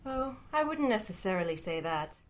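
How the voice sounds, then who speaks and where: A woman's voice: medium pitch, very animated, normal speed, a little hiss or hum, lightly acted. A woman voicing an awkward, nervous, polite man in a story reading, hesitant and stammering. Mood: shyly pleased. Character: awkward, nervous, polite Englishman, reluctantly fond.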